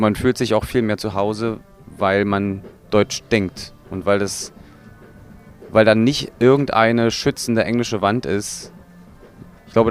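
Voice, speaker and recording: A man from a metal band giving a documentary interview, explaining things in a thoughtful, measured way. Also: fairly low pitch. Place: recording studio.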